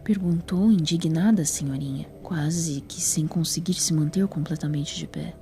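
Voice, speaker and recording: A Brazilian woman narrates a scripted audio story. Her voice is low and dark-toned. Faint music plays behind her. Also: moderate pace.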